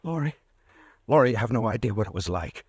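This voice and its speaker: An actor playing a frightened pharmacist haunted by a murder, pleading and panicky, in an old radio drama. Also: male voice, medium pitch, animated, fairly quick, faint music behind, close to an everyday voice. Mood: shaken. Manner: panicky, pleading, breaking into shouts. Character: guilt-ridden young pharmacist murderer. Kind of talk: 1940s radio acting.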